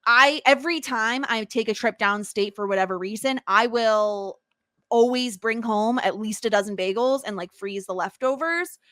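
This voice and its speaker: A woman from New York State with an American accent, co-hosting a podcast in casual, animated chat and laughing often. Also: fairly high pitch, moderate pace, dry recording, clean studio sound. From New York State, USA. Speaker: podcast co-host.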